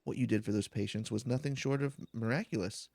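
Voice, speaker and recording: Man reading as a solemn, gravelly game official. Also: medium pitch, a little fast, a little mellow, no echo. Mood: solemn gratitude. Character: courteous, formal official giving thanks and praise. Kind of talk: game dialogue read aloud.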